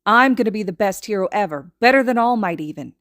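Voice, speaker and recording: Woman voicing a loud, cocky little boy. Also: mid-range voice, lively intonation, fast, dry recording, clean studio sound, voice pushed up, lightly acted. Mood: boastful. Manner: loud, boastful, bossy.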